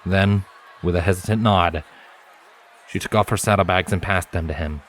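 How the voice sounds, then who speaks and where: A man's voice: deep voice, normal speed, fairly bright tone, slight background noise. A man reading scripted story narration as an audiobook narrator. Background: background music.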